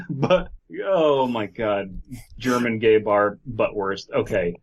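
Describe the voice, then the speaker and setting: A man's voice: fairly low pitch, very slow, muffled recording. A man co-hosting a podcast, reading aloud and riffing in casual, sweary banter with his friends. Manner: joking, sweary. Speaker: podcast co-host. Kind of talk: casual comedic read-and-react chat.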